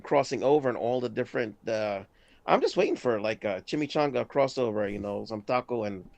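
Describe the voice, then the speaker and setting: A man's voice: mid-range voice, lively intonation, a little fast, creaky, darkish tone, no room sound. A man from Puerto Rico co-hosting a podcast, chatting bluntly and joking about money and games. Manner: blunt, jokey, speaks his mind. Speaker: podcast co-host. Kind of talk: casual podcast chat.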